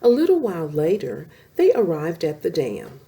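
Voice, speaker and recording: Animated woman reading a children's story. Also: low-pitched, vocal fry. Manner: animated, slow-paced reading addressed to young children. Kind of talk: expressive bedtime story reading.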